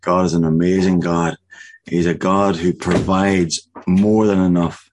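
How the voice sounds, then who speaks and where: A man preaching a sermon, earnest and halting, with many restarts, slowing down to deliver what he hears as a word from God. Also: sits low, level tone, background noise. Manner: slow, emphatic delivery of spoken prophecy. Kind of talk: sermon with prophetic words.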